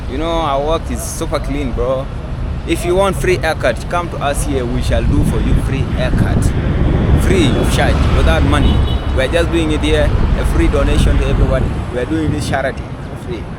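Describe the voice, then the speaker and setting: A man's voice: fairly high pitch, moderate pace. A man from Sudan, hosting casual street interviews as a vlogger. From South Sudan. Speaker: vlog host. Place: outdoors on a busy town street. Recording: very noisy street with traffic. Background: loud traffic and city noise.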